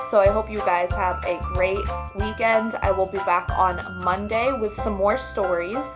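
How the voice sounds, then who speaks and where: A woman hosting a children's storytime, reading and talking directly to young listeners in an expressive way. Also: medium pitch. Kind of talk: storybook read-aloud and talk to young children.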